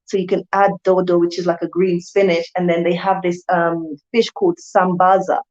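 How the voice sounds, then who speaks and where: A woman's voice: fairly low pitch, level tone, normal speed, a little mellow, lo-fi sound. A woman from Jamaica, chatting casually with her viewers on a livestream, repeating herself and calling them guys. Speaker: channel host.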